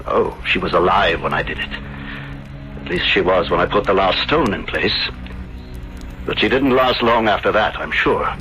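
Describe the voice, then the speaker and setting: Cruel taunting murderer in old radio drama, sharp forceful voice, dull band-limited recording with faint music. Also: male voice, low voice, normal speed. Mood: cold gloating. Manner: menacing, taunting, theatrical. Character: vengeful, gloating murderer, a cruel jilted husband. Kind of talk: 1970s radio drama acting.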